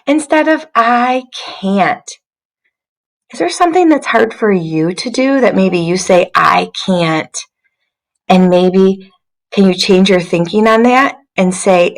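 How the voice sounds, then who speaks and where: A woman's voice: a little high, low-quality recording. A woman giving an interactive storybook read-aloud, encouraging young listeners and asking them questions like a teacher. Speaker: teacher reading aloud to children.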